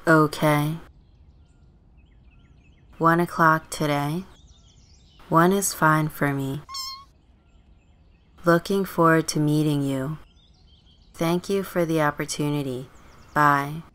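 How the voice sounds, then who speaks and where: A woman plays a teenage runaway girl in a life-simulation game story. The voice is low and dry, with a stubborn, sardonic edge. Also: fairly even intonation, slow, pauses often, fairly bright tone, slight background noise. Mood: polite, eager.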